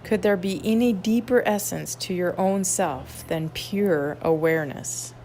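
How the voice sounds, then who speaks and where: A woman guiding a meditation, reading instructions in an unhurried way with long pauses. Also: lowish voice, warm tone. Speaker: meditation guide at a wellness retreat. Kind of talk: guided meditation, read aloud softly. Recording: clearly noisy ambient background.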